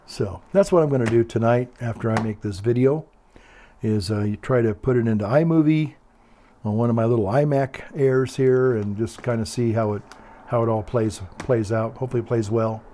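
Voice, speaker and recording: Older guy rambling casually to a video, calm quiet mellow voice, bit of background noise. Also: mid-range voice, moderate pace. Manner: meandering, hesitant, repeats himself. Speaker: video blogger.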